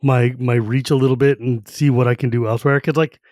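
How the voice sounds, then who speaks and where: A man hosting a casual podcast chat, relaxed and laughing often, using easy slang. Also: medium pitch, a little fast, no echo, studio quality. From United States. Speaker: podcast host.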